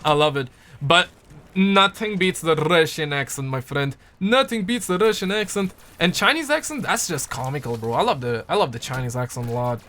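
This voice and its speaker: A man's voice: on the high side, animated, normal speed, bright, forceful tone. A young man from Pakistan, chatting casually on a livestream and answering viewer comments in a rambling, playful way that repeats words. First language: Urdu.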